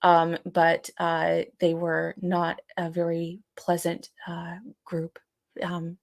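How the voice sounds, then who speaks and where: Woman podcast cohost, kinda low voice, dry sound, halting and hedgy, casual chat. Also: monotone, vocal fry, dry recording. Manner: halting, hedging, searching for words. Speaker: podcast co-host. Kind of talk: casual live podcast discussion.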